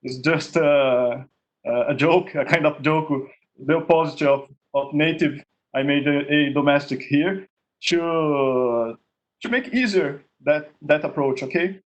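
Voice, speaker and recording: A Portuguese-accented man, a native Portuguese speaker, demos his project on a video call. His voice sits a little high and he talks slowly. He stops and restarts often and keeps checking in with "okay?". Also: muffled recording. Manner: halting, repeats words, ends points with "okay?". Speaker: contest winner presenting his project. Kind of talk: screen-share demo in an online meetup.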